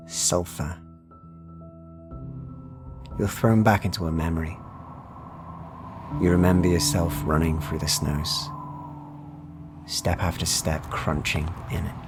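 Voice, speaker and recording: A man narrating a dark fantasy game as game master, in a dramatic, deliberate, suspenseful style addressed to the players. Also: deep voice. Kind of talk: atmospheric second-person fantasy narration.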